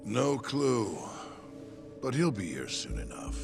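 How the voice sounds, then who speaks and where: An actor playing a defiant, contemptuous tough man in a video game, with a gravelly voice. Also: medium pitch, sing-song, takes it slowly, over music, full character voice. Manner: contemptuous, taunting.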